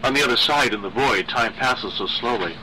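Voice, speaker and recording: A man reading a horror story as a gentle, obsessively lovesick man, speaking in soft, halting, pleading phrases. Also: mid-range voice, noisy background, natural voice. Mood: lovesick, dreamy. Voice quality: soft, sighing.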